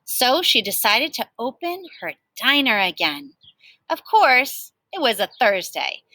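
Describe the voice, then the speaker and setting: A woman teacher reading a picture book aloud to children in an expressive storytelling style. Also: fairly high pitch, a little slow, no echo.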